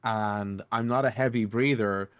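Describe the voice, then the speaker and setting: Loud-voiced man chatting casually. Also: fairly low pitch, fairly even intonation, measured pace, smooth, dull audio. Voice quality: naturally loud voice. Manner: chatty, rambling, with self-deprecating asides. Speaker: podcast host and editor. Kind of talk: casual roundtable chat.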